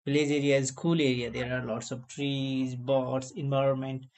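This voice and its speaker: A man's voice: mid-range voice, little pitch movement, normal speed, a little mellow. A young man from Nepal, hosting a casual video chat in simple, broken English with emphatic bursts. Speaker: channel host.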